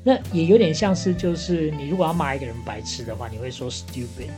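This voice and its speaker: A woman's voice: low-pitched, fast, mellow. A woman co-host with a Taiwanese accent, chatting casually and explaining things in a wry, teasing way. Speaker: podcast co-host playing bartender. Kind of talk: casual chat, explaining slang. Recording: music playing behind the voice. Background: background music.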